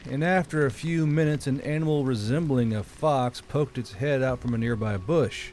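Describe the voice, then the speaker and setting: A man's voice: mid-range voice, darkish tone, slight background noise. A man reading scripted fiction as an audiobook narrator. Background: background music.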